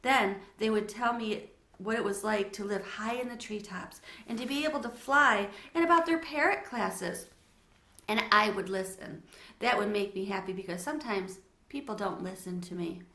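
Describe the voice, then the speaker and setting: Woman playing a bright, chatty young schoolgirl. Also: medium pitch, moderate pace, reverberant. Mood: dreamy, hopeful. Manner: chatty, earnest, gushing. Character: talkative, lonely, imaginative young schoolgirl.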